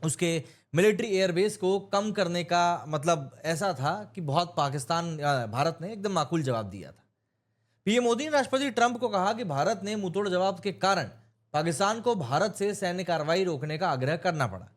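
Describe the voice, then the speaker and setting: A man's voice: high-pitched, animated, moderate pace. An Indian man giving an opinionated news explainer, speaking emphatically and mixing in English terms. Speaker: news commentator.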